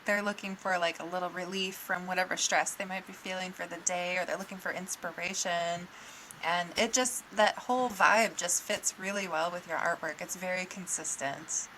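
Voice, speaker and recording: Woman, podcast host, enthusiastic, curious, casual, bright tone. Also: on the low side, steady tone, moderate pace, a little hiss or hum, rough recording. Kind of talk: casual interview chat.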